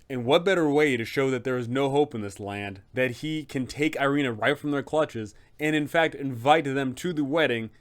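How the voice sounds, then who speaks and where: A man with a mid-pitched voice hosts a tabletop game guide. He explains things informally, with emphatic, opinionated advice for game masters.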